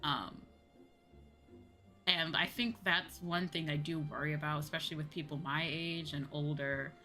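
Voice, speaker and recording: A woman's voice: low-pitched, steady tone, normal speed, bright, forceful tone, soft background music. A woman streamer talking casually and conversationally in a livestream chat. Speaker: VTuber streamer.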